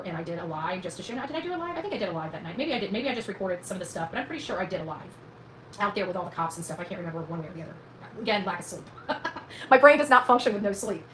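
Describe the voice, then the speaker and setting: A woman talks very quickly, as if to her followers, rambling through a personal story. Her manner is folksy and emphatic, full of colloquial turns. The room is echoey, with faint noise behind her. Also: medium pitch, fairly bright tone. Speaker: vlogger recounting own experience. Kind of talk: casual rambling storytelling to viewers.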